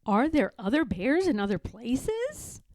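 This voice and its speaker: An excitable little bear cub from a storybook, acted out by a woman. He is curious and wide-eyed, with a lifted, very animated voice and plenty of eager exclaiming. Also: fairly high pitch, unhurried, dry recording, clean studio sound, voice pushed up.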